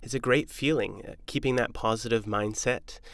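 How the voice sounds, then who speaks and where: A man's voice: medium pitch, fairly even intonation, moderate pace, fairly bright tone, no room sound. A man speaking earnestly and steadily about his own experience. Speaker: interviewee. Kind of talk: personal testimony.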